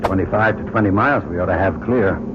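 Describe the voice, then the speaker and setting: A man's voice: deep voice, fast talker, close to an everyday voice. An actor playing an earnest, questioning male astronaut commander in an old-time radio drama. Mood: resolute. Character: earnest male astronaut commander, self-doubting but responsible. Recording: dull noisy old radio recording.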